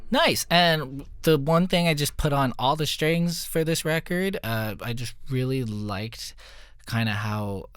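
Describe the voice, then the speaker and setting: Man, mid-pitched voice, dry close sound, casual musician walkthrough, informal and chatty. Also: a little slow, fairly bright tone, clean tone, dry recording. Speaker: musician breaking down own recorded song.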